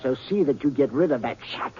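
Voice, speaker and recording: An actor playing a miserly, crotchety old western rancher, folksy and blustering, in old-time radio drama style. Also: male voice, medium pitch, animated, fairly quick, a little mellow, breathy edge. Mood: stern, callous. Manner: blustering, folksy, stammering when flustered. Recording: dull, noisy vintage radio recording.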